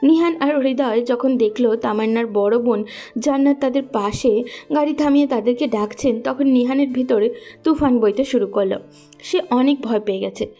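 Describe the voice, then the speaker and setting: High, mellow female story narrator. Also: moderate pace, soft background music.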